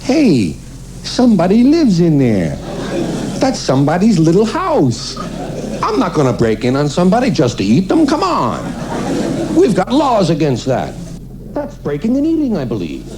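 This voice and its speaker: A man performing a stand-up comedy routine in an animated, mock-indignant way with acted asides, on an old cassette recording of the radio. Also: sits high, moderate pace, noisy background.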